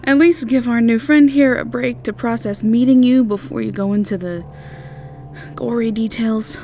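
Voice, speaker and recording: A woman plays a guarded, long-suffering woman working at a fantasy inn. She speaks in a dry, reluctant, no-nonsense way, slightly raised in pitch, for an audio roleplay. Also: moderate pace, warm tone, faint music behind, lightly acted. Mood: exasperated.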